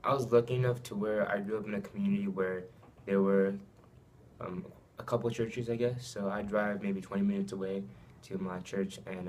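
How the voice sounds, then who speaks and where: Deep, flat-voiced young man, hesitant. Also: slight background noise. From Saipan, raised in Japan. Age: young adult. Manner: hesitant, many ums and likes. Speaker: student athlete interviewee. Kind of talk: casual interview answers.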